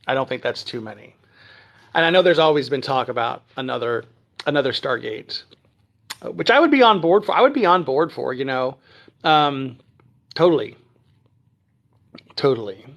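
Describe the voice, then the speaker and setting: A man from Texas who speaks standard American, hosting a casual phone livestream from home and chatting through viewer comments with many ums and throat clears. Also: mid-range voice, lively intonation, moderate pace, pauses often, a bit bright, highs cut off. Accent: none, trained standard American. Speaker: livestream host. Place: at home near Dallas.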